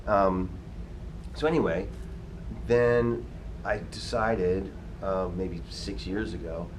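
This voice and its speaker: Easygoing low-voiced man, rambling. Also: takes it slowly, noisy background. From United States. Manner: easygoing, rambling, many "you know" fillers. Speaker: guitarist being interviewed. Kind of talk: casual interview chat.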